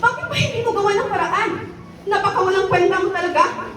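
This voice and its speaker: A woman's voice: very high-pitched, lively intonation, fast talker, noisy background. A woman playing a spoiled, ungrateful eighteen-year-old daughter, whining and demanding, in an amateur radio drama. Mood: scornful. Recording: echoey distant recording with music behind.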